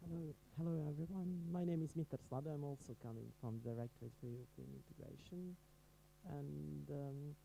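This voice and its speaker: A man's voice: a little high, a little fast, vocal fry, dark tone, a little hiss or hum, poor audio. A man from Bosnia and Herzegovina giving a short, straightforward self-introduction.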